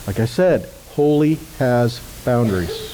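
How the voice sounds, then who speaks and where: A man's voice: mid-range voice, expressive, measured pace, dark tone, slight background noise, poor audio. A man giving an informal scripture teaching in a conversational way, often checking in with listeners. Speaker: ministry teacher.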